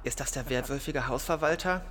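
A man's voice: mid-range voice, a little fast, a bit bright, close to an everyday voice. A man playing a sceptical friend in a group exploring a haunted house in an audio play. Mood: puzzled. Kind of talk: scripted Halloween audio play acting, conversational.

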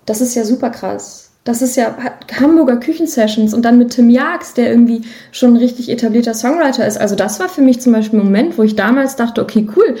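A woman's voice: fairly high pitch, fast, few pauses, dark tone. A woman from Germany speaking casually and reflectively as an interview guest, thinking aloud as she goes. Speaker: guest, musician and songwriter.

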